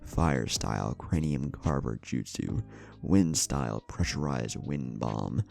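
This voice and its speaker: A man reads as a menacing rogue ninja in an action fantasy story. His voice is extremely low and gravelly, his words terse, confident and taunting. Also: lively intonation, takes it slowly, darkish tone, faint music behind, full character voice.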